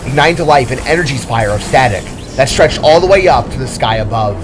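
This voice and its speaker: A man's voice: mid-range voice, lively intonation, fast. Man narrating dramatic fantasy over music. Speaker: story narrator.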